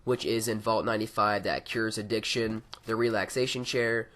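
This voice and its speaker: A man with a raspy, hoarse voice from being sick, casually reviewing game mods with a rambling, filler-heavy delivery. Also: fairly low pitch, steady tone, moderate pace, fairly bright tone. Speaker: gaming YouTuber. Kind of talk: casual mod review over gameplay.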